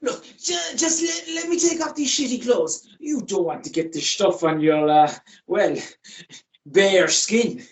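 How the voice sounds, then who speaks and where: A woman's voice: medium pitch, lively intonation, measured pace, far from the mic. A woman playing a weary, evasive man in a radio play, with an Irish accent and a hesitant, stammering delivery. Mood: flustered, evasive. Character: weary, evasive, grubby man fending off his lover.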